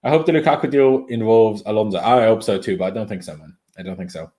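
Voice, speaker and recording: A man's voice: fairly low pitch, fast talker, warm tone. A young Austrian man, a native German speaker, giving casual live commentary on a football match and reading out viewer comments. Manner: chatty, opinionated, reads viewer comments aloud. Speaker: fan watchalong streamer.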